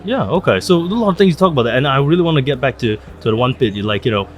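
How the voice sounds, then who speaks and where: A man's voice: medium pitch, lively intonation, racing, lo-fi sound, slight background noise. A man hosting a podcast interview at a trade event, talking in a hesitant way with many fillers and restarts. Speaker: podcast host. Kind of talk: conversational interview.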